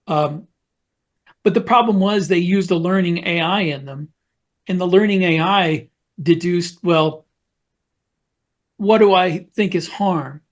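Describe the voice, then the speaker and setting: A man's voice: high voice, slow, pauses often. A man co-hosting a podcast, explaining things in a casual, storytelling way as part of a panel discussion. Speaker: podcast co-host.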